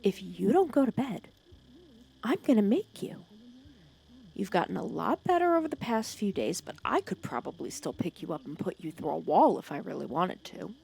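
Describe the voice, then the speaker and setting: A woman playing a protective, teasing female villain who scolds and cares for her hero nemesis in an ASMR roleplay, sarcastic and often dropping to a hush. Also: mid-range voice, sing-song, moderate pace, heavily acted. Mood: worried, bossy. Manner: scolding yet caring, sarcastic, dropping into hushed breathy asides. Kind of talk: ASMR roleplay audio drama.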